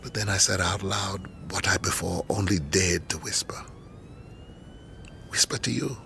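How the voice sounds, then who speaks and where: A proud, low-voiced, forceful lecturer. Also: male voice, a little slow, vocal fry, slight background noise, heavily acted. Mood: remorseful, confessional. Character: proud, embattled male university lecturer, defensive and remorseful. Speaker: actor in a radio drama. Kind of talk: naturalistic radio drama acting.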